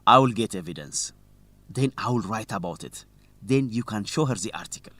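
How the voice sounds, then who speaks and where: An actor playing an earnest, idealistic young student journalist in a radio drama, speaking with conviction. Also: male voice, lowish voice, lively intonation, normal speed, fairly bright tone. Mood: suspicious, determined. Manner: earnest, impassioned.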